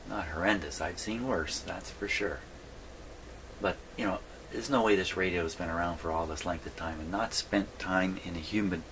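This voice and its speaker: A man thinking aloud in a rambling, folksy way as he casually narrates a hands-on repair vlog from his workshop. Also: sits low. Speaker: hobbyist host repairing vintage radios. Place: indoor radio workshop. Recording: clear background noise.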